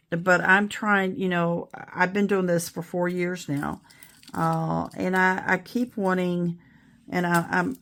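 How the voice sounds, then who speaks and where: A middle-aged woman talks slowly in a low, gravelly voice that is still raspy from a recent illness. She chats and rambles as she works, apologizing for her slips with easy self-deprecation. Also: fairly bright tone. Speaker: beading video host. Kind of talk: casual tutorial chat.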